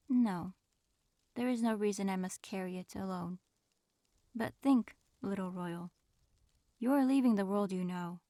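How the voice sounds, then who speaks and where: A woman playing a proud, reserved dragon in human form, speaking formally and with measured aloofness in an audio roleplay. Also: mid-range voice, darkish tone, no echo, studio quality, close to an everyday voice. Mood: reflective, hesitant, then solemn, softening. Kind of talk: audio roleplay acting, addressing the listener.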